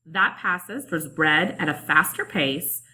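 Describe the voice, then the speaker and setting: A woman teacher narrating a calm instructional screencast about online testing. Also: low voice, a little slow, low-quality recording. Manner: calm, explanatory.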